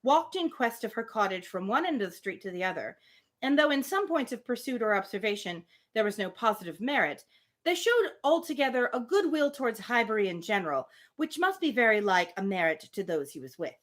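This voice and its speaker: A woman reading a classic novel aloud on a livestream, with expressive phrasing and casual spoken asides. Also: fairly high pitch, brisk. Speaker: livestream book reader.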